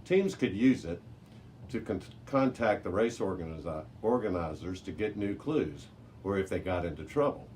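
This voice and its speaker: A man's voice: deep voice, animated, normal speed, darkish tone. A man reading a children's chapter book aloud as a storyteller, with the odd small stumble over the words. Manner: occasional stumbles and self-corrections while reading. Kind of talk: children's audiobook read-aloud. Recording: noisy amateur recording with background hiss.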